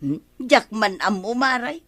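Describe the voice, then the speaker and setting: A woman's voice: fairly high pitch, sing-song, a little slow, fairly bright tone, no room sound, heavily acted. An actress with an Ilocano accent playing an exasperated, complaining village woman in a comedy radio drama.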